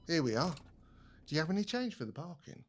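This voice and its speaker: A man's voice: a little high, sing-song, fast talker, a little hiss or hum. An actor playing a friendly English family man in a naturalistic audio drama, plain and practical in his talk. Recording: loud background ambience.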